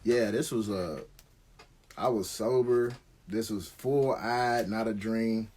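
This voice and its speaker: A man's voice: fairly low pitch, slow, a little mellow, a little hiss or hum. A middle-aged American man telling stories casually on a live stream, slangy and conversational, swearing freely.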